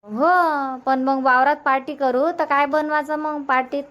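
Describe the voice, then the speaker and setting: A woman's voice: high voice, little pitch movement, moderate pace, a bit bright, dull audio. A woman voicing a chatty, practical village mother in a cartoon comedy, speaking with a Varhadi Marathi accent in a homely, repetitive way. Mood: agreeable, planning. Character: chatty, practical village mother, middle-aged or older, organising an outing.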